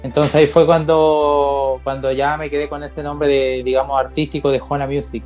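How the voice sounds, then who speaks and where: Chilean guy in his 30s, musician, lots of ums and restarts, bit higher voice, faint music behind. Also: flat delivery, normal speed, a little mellow. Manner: hesitant, many fillers and restarts. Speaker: musician guest. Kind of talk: casual interview chat.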